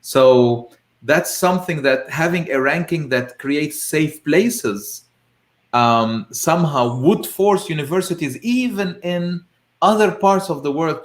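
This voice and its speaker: Male talk host, halting informal delivery, echoey room sound. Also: fairly high pitch, animated. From Lebanon. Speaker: host of a live discussion show. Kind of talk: informal interview discussion.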